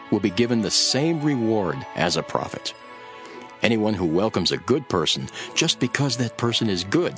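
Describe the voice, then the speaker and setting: A man playing a grave, authoritative teacher instructing his followers in a dramatised scripture reading, with measured, solemn delivery. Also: fairly low pitch, fairly bright tone.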